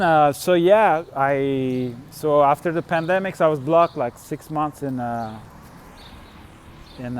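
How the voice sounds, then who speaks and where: A man talks in a loose, casual way, filling his sentences with little fillers as he pauses to find words. His voice sits a little on the high side. A faint hum of noise runs underneath. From Turkey. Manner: halting, full of "you know" and "like" fillers. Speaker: touring musician and vlogger. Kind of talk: casual chat.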